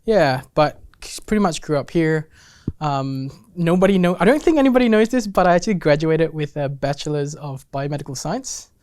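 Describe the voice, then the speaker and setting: A man's voice: a little high, animated, brisk, a little mellow. A man in his late twenties from Malaysia, a guest chatting casually in a self-effacing, rambling way. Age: late 20s. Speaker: interview guest, campus ministry missionary. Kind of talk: casual chat.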